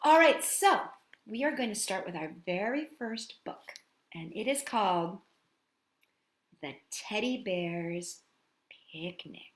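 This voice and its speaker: A woman's voice: medium pitch, animated, slow pace, long pauses. A woman hosting a storytime for small children, talking and singing action songs in a sing-song, encouraging way. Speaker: children's storytime host and singer. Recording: roomy echo, music behind.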